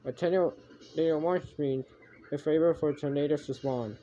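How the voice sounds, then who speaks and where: A man improvising homemade mock emergency broadcast announcements, haltingly, often repeating and correcting himself. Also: fairly high pitch, warm tone. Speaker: amateur narrator of a homemade emergency alert scenario. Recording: muffled homemade recording with background noise.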